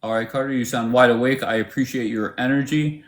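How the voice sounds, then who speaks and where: A man runs a live call-in debate stream. He sounds brisk and dry, with an ordinary mid-range voice. He moves callers along and cuts them off when he is done. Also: medium pitch, steady tone. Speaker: livestream host moderating callers.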